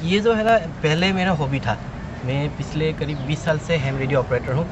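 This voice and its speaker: A man's voice: a little high, fairly quick. A middle-aged man with an Indian accent giving an informal explanatory talk as a ham radio trainer, using English terms and everyday examples. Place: outdoors at an urban portable radio station. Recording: muffled outdoor recording, clear traffic noise.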